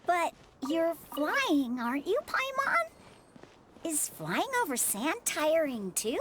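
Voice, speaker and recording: A woman voicing a young child in a video game, small-voiced, plaintive and halting. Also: high-pitched, huge pitch swings, very noisy, exaggerated voice. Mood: innocent curiosity. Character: young child worried for a grandparent. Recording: music under the voice.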